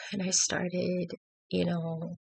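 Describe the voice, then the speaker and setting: A woman who has just woken up, speaking in a gravelly voice as she talks through a personal struggle at home on her laptop, hesitant and reflective with many fillers and restarts. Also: on the low side, completely flat delivery, very slow, dry recording, low-quality recording. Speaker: faith vlogger. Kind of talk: personal confessional talk to camera.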